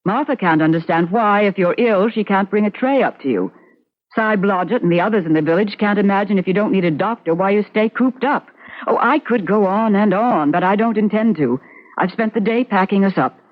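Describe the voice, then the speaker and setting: A woman's voice: mid-range voice, a little fast, lightly acted. An actress playing an exasperated, determined woman in a radio drama, insistent and emphatic. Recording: muffled old radio recording.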